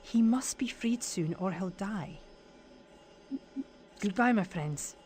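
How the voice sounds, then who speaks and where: A woman's voice: mid-range voice, expressive, slow pace, a little mellow. An actress playing an earnest, caring woman in a video game cutscene, speaking sincerely and solemnly with grave concern. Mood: worried, solemn.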